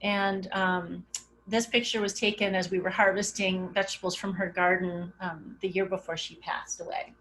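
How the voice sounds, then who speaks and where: Casting note: middle-aged woman presenting over slides, relaxed and conversational, mid-range pitch. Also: normal speed, a little hiss or hum, low-quality recording. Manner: casual, conversational, many "um" fillers. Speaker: webinar presenter.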